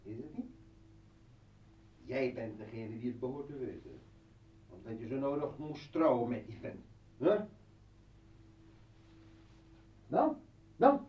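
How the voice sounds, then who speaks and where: A volatile, sardonic militant poet in a radio drama. He taunts slowly and theatrically, with big swings in pitch. The recording is dull, set back in an echoey room. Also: very animated, distant mic, slight background noise. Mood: mocking. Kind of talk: Dutch radio play acting.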